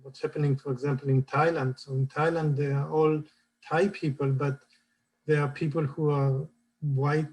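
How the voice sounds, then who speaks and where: A man's voice: a little high, level tone, moderate pace, a little mellow, low-quality recording. A man with an Israeli accent sharing his personal story on a panel, hesitant and searching for words.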